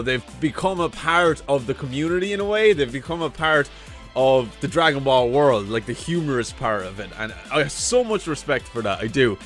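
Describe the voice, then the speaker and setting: A man's voice: mid-range voice, fairly bright tone. A man hosting a reaction video in casual, enthusiastic, informal chat.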